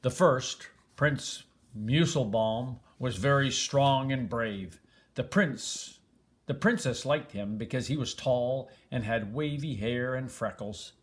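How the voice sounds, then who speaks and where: Older man, medium pitch, grandfatherly storybook reading, expressive emphasis. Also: slow. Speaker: grandfather reading a children's book aloud. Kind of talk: storybook read-aloud.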